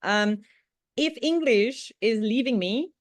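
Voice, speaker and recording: A woman's voice: a little high, lively intonation, takes it slowly, no echo. Czech-accented woman giving an online talk. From Czech Republic. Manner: chatty, laughs often, many fillers and restarts. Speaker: guest presenter. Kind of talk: online club presentation. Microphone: video call.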